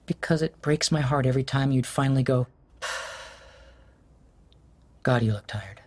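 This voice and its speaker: An actor playing an anxious husband in an audio drama, stammering and swinging between shouts and whispers. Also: male voice, mid-range voice, moderate pace, lightly acted. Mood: tender unsettled. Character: anxious husband losing his love, middle-aged man. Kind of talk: studio audio drama acting.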